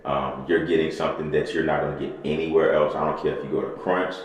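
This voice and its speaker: A man with a very low, deep voice talks shop like a personal trainer on a podcast. He circles back on his points in a rambling, motivational way. The room is hard and echoey, with a long trailing reverb. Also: flat delivery, quick pace. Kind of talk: casual podcast chat.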